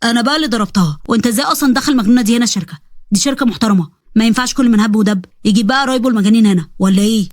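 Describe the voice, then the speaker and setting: A woman's voice: on the high side, racing, a little mellow, no echo, clean studio sound, close to an everyday voice. Woman doing a leering Egyptian bully. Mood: arrogant. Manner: mocking, arrogant. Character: brash young man, leering bully.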